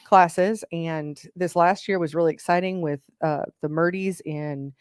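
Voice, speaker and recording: A woman's voice: fairly low pitch, steady tone, measured pace, clear, resonant tone, dry recording, studio quality. Chatty woman co-hosting a live show. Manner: chatty, rambling, greets and reads out viewer comments. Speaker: co-host of a racing engine livestream. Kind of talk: casual chat.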